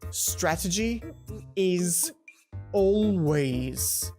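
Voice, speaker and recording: A woman voicing an intense, bossy schoolboy gamer who lectures forcefully like a drill instructor in a visual novel. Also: fairly low pitch, lively intonation, drawn-out words, music in the background. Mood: intense lecturing. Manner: forceful, commanding, emphatic.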